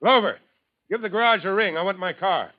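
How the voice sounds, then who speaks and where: A man's voice: high-pitched, fairly quick, natural voice. Man doing a businesslike fire chief barking into a phone, clipped, dull old radio sound. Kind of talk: 1950s radio drama acting.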